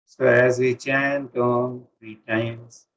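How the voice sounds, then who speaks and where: A man with an Indian accent teaches meditation in a medium-pitched voice. He gives calm, deliberate instructions and leaves space between phrases. The recording is dull and low in fidelity, like online session audio.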